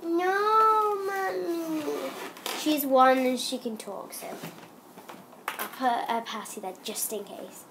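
A woman's voice: a little high, measured pace, background noise. A young girl vlogging at home, chatting in a rambling way with lots of ums while she has a cold. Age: child. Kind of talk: casual chatty vlog.

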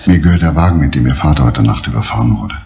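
An actor playing a grave, controlled middle-aged detective under suspicion, speaking in a measured, deliberate way in a crime drama. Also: male voice, extremely low pitch, gravelly, mellow. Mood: grave, insistent. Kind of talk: restrained crime drama dialogue.

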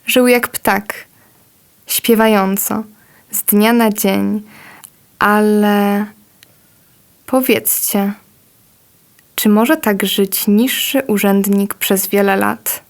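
A woman reciting a poem in a slow, measured way. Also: medium pitch, fairly even intonation, creaky. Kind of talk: poetry recitation.

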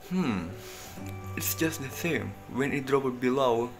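A young man playing a small-town sheriff in an amateur student play, reading his lines haltingly and hesitantly. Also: mid-range voice, animated. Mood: halting, matter-of-fact. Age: young adult. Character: Henry Peters, small-town sheriff reporting on the scene. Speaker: student actor in a class play. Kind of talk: amateur stage-play acting. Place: indoor kitchen. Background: music.

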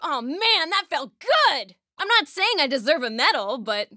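A woman's voice: sits high, very animated, a little slow, bright, forceful tone, no room sound, studio quality, heavily acted. A woman playing a plucky, cheeky young military scout driver in a video game, giving clipped radio-style calls with wry quips. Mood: pleased, cocky. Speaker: game voice actor.

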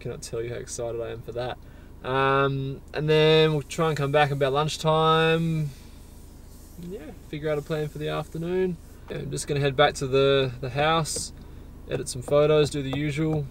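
A young man talking to a handheld camera in a relaxed, enthusiastic, casual travel-vlog style, outdoors and in a car. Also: a little high, moderate pace, gravelly, slight background noise. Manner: relaxed, enthusiastic, chatty with fillers. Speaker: travel vlogger. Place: outdoors on windy cliffs and inside a car. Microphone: camera-mounted mic, held at arm's length.

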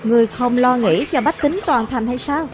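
High-voiced woman dubbing a cartoon over music. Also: normal speed. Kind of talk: dubbed narration of a children's animated fantasy, voicing every character. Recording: music playing behind the voice.

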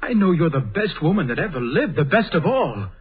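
An impulsive, earnest young son. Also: male voice, fairly high pitch, sing-song, a little fast. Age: young adult. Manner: earnest, clipped, theatrical. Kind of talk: 1930s radio acting. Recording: tinny old radio broadcast.

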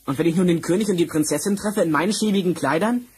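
Casting: naive poor lad acted by a man, high voice, incredulous, for a fairy-tale drama; slightly noisy vinyl. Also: quick pace, darkish tone, natural voice. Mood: doubtful. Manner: incredulous, plain-spoken. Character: naive, doubtful poor young man Hans. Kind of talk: 1970s German fairy-tale radio play acting. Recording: noisy vinyl record transfer.

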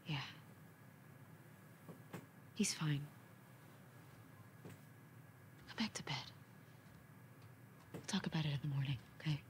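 A woman with an American accent playing a hurt, pleading young partner and mother in a video game drama, emotional and sometimes hushed. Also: deep voice, slow pace, pauses often, in a whisper. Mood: hushed, weary. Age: young adult.

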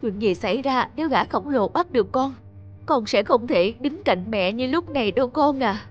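A woman playing a stern but loving peasant mother in a children's cartoon, dramatic and expressive. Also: a little high, moderate pace, highs cut off, close to an everyday voice. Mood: tender, regretful.

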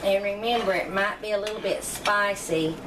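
A woman's voice: medium pitch, steady tone, moderate pace, background noise. A middle-aged woman, chatting homily through a cooking demonstration in her home kitchen. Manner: chatty, homey, rambling asides. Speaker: cooking channel host. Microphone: camera mic, speaking off camera.

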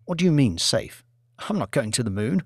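A man's voice: mid-range voice, sing-song, brisk, slightly rough, dry recording, clean studio sound. A man voicing a male executive in an audiobook, terse and questioning, commanding yet uneasy. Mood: incredulous. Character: Banks, newly powerful male executive, uneasy, irritated, commanding.

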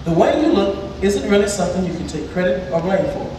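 A man's voice: high-pitched, fast talker, noisy background, natural voice. A teenage male student actor playing a sassy, wise fairy godfather in a stage musical, with a theatrical way of talking. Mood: wise, coaxing. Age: teens, 17. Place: large indoor theatre stage, distant camera. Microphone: far camera. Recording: echoey theatre stage recorded from far off.

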